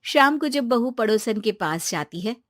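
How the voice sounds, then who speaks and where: A woman's voice: on the high side, animated, measured pace, fairly bright tone, no echo, studio quality. A woman narrating a scripted children's story.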